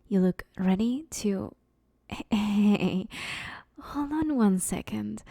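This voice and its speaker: A friendly but sheepish female supervillain from next door, acted out by a woman for an audio roleplay. Her voice is on the low side and mellow, with a lot of fry in it. She rambles casually and hesitantly, like someone hard up who needs a favor. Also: no room sound, clean studio sound, full character voice. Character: awkward, broke, friendly female supervillain neighbor asking favors. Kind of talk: roleplay audio drama, casual conversational monologue.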